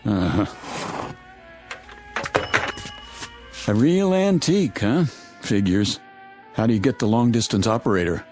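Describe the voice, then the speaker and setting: Actor as animated, suspicious, impatient male reporter. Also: fairly low pitch, moderate pace, over music, close to an everyday voice. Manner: curt, guarded, impatient. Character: suspicious, irritable male newspaper reporter stranded in town. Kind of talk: audio drama acting.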